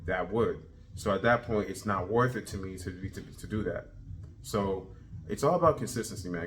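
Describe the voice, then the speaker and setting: A thirty-year-old man talking casually in a self-improvement video journal, energetic and repeating himself as he pushes his point. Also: fairly low pitch, a little hiss or hum. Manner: energetic, repetitive, self-motivating. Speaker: vlogger.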